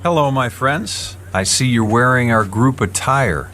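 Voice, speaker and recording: An actor playing a courteous, soothing hypnosis group leader, formal and measured, in an audio drama. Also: male voice, medium pitch, lively intonation, fairly bright tone, a little hiss or hum. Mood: welcoming, polite.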